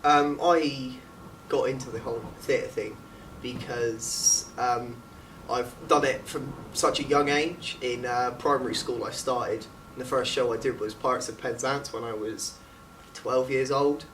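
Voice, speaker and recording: A man talks casually, like a student actor being interviewed. His voice is mid-pitched. It is picked up from some way off, over faint background noise. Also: fairly even intonation, a little slow, fairly bright tone, distant mic, rough recording. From England. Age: late teens.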